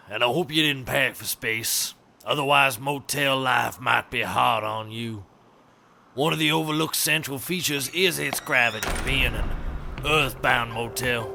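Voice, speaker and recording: An actor playing a folksy, ominous motel caretaker with a creaky voice and a deliberate storytelling manner in an audio comedy. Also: male voice, mid-range voice, pushed and bright, heavily acted. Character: folksy, ominous male motel caretaker, slow and plain-spoken. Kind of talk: scripted comedy audio drama.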